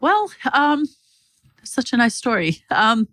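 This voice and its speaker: A woman's voice: on the high side, sing-song, slow, a bit bright, dry recording. A woman hosting a company podcast, leading a loose chat full of fillers. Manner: friendly, loose, many fillers. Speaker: podcast host. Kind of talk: casual interview hosting.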